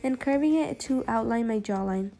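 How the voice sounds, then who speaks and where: A woman's voice: fairly high pitch, normal speed, creaky, warm tone. A young woman narrating a makeup tutorial as a voice-over, her voice a little off from being sick. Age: young adult. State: sick, voice affected by a cold. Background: background music.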